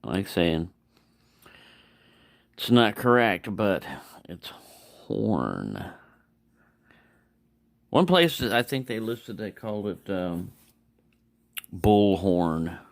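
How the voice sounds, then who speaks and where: A man's voice: fairly low pitch, very animated, drawn-out words, vocal fry, pauses often, no echo. A man giving a casual, unscripted product review, rambling and correcting himself as he goes. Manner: rambling, self-correcting, mumbling asides. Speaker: knife reviewer.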